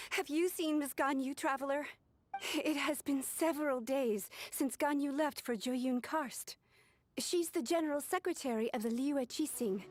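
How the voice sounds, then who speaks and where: Earnest young clerk, high voice, formal. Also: female voice, a little slow. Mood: concerned.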